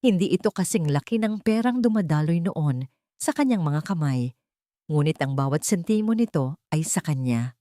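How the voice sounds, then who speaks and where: A woman with a Filipino accent reads a dramatic story from a script. Her voice sits low for a woman, and the recording is dry and close, with no room sound. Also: animated, moderate pace, warm tone, dry recording. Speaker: narrator.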